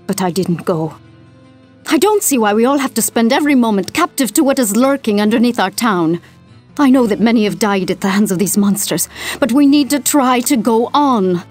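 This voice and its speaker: A woman's voice: mid-range voice, lively intonation, normal speed, darkish tone, faint music behind, lightly acted. A woman playing a weary but hopeful young villager in a fantasy game, speaking earnestly and plaintively.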